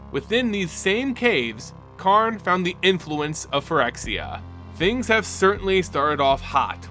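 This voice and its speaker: A man narrating a fantasy story with an animated, emphatic delivery, then hosting his channel's wrap-up. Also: a little high. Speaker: lore channel host.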